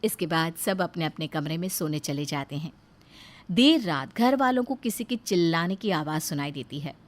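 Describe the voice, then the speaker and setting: A woman's voice: low voice, expressive, moderate pace. A woman narrating a scripted moral story as a storyteller.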